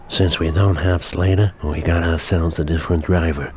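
An actor playing a controlled, quietly threatening male crime boss in a video game, speaking in a measured way. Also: sits low, fairly even intonation, slight background noise, close to an everyday voice. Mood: commanding. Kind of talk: cinematic video game cutscene acting.

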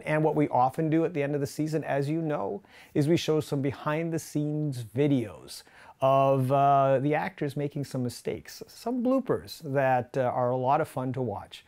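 Man in his fifties hosting, higher-pitched voice. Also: animated, normal speed, a little mellow. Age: 50s. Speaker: show host. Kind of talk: friendly scripted-sounding address to listeners. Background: music.

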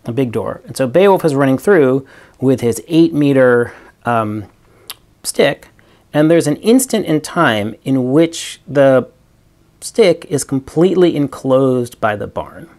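A man's voice: mid-range voice, lively intonation, slow pace, darkish tone. Man explaining physics with toy props. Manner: explains with stuffed animals and a toy barn, thinking aloud with ums. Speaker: physics professor. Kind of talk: recorded video lecture with props. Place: small room in front of a green wall.

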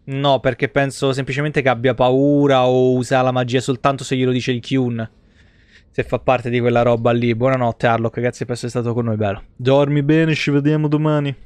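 A man's voice: mid-range voice, flat delivery, brisk, darkish tone, smooth, no room sound. A man, around his thirties, giving casual, joking live commentary on a game and swearing freely. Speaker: game streamer. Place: indoor home streaming setup.

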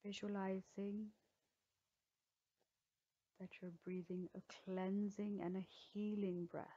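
A woman yoga teacher leading a guided healing meditation in a slow, soothing way with gentle pauses. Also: medium pitch, flat delivery, lo-fi sound.

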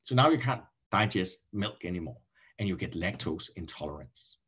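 A middle-aged man from Denmark with a Danish accent and a slightly husky voice, lecturing as a professor over a video call in an explanatory, halting way, often repeating and correcting his words. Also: low-pitched, lively intonation, fairly bright tone. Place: indoor room, on a video call.

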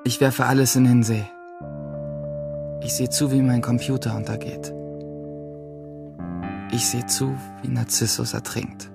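A man's voice: mid-range voice, level tone, a little slow, creaky, pauses often. An actor playing a guilt-ridden teenage boy in a radio play, narrating in terse, clipped fragments. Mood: grim resolve. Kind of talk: radio play interior monologue. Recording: music behind the voice.

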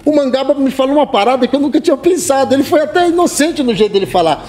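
Slangy high-voiced rapper guest. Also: male voice, lively intonation, rapid-fire, a little mellow. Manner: slangy and loose, many restarts and "tá ligado". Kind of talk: casual panel chat. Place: community center room, seated on sofa with panel.

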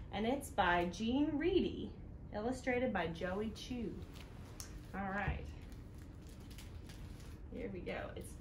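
A woman hosting a children's storytime, reading aloud in an animated, sing-song way that speaks directly to little listeners. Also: fairly high pitch. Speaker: children's storytime host at a library. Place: living room indoors, seated on a couch. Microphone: camera a few feet away. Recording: roomy home sound with noticeable background noise.

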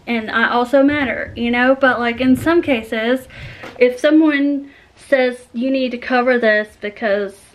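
A woman, chatting to viewers in a casual, encouraging way, with lots of fillers, from a phone held at arm's length in a small bedroom. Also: fairly high pitch. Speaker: cleaning vlogger. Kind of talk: casual chat to camera.

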